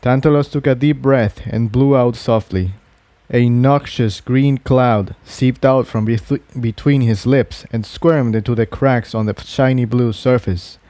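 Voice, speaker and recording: A man reads an adventure story as its narrator. His voice is very soft-edged and warm in timbre, at an average pitch. The recording is dry, with hardly any room sound. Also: fairly even intonation, unhurried, no echo. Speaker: fan fiction narrator.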